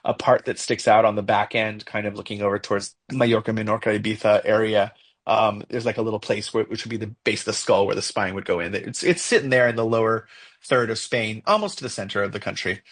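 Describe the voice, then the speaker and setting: A young man with a Mexican accent, co-hosting a casual podcast discussion, talking in a halting way with many fillers and restarts as he thinks aloud. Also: fairly low pitch, a bit bright. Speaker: podcast co-host.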